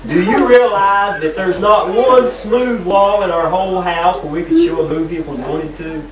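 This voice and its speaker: A man's voice: high-pitched, moderate pace, crowd noise, natural voice. A man playing a fussy, complaining husband bickering comically in a stage sketch. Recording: distant echoey hall, audience noise.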